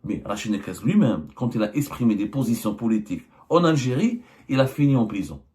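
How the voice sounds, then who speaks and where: Emphatic man with a lively voice. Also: medium pitch, a little fast. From Algeria. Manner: emphatic, piles up rhetorical questions; hesitant fillers and restarts. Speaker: journalist and channel host. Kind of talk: political commentary monologue.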